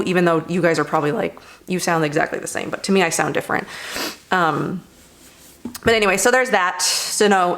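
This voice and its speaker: Chatty young woman, slightly hoarse. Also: lowish voice, fairly bright tone. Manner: rambling, many fillers like "like" and "you know". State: hoarse after laryngitis. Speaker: beauty vlogger. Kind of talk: casual product review chat.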